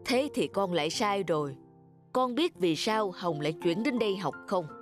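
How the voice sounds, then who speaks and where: A woman playing a calm, firm middle-aged father and school principal in a cartoon, measured and admonishing. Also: mid-range voice, lightly acted. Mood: explaining, gentle. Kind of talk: animated cartoon voice acting. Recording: clear music behind the voice.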